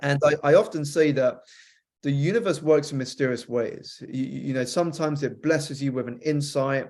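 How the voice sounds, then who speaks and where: A man with a British accent that has been softened by an American influence, a guest author on a podcast, telling a reflective story fluently with some repeated starts. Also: on the high side, normal speed. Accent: British, softened by years with an American spouse. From United Kingdom.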